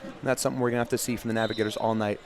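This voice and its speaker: Fast-talking man giving game analysis. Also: fairly low pitch, level tone, a little hiss or hum. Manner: conversational, strings thoughts together with fillers. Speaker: color commentator.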